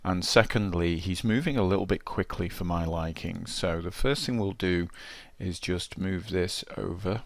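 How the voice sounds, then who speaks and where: Male tutorial presenter, very low register, dry close sound, informal and thinking aloud. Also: normal speed, hardly any pauses, no room sound. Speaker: game development tutorial presenter. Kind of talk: casual screencast walkthrough, thinking aloud.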